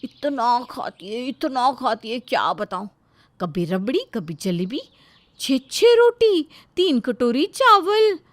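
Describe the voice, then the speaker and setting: A woman's voice: a little high, sing-song, slow, no echo. A woman voicing a resentful, falsely sweet elder sister-in-law, complaining dramatically in a moral story. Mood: aggrieved, dramatic. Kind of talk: animated Hindi moral story dialogue.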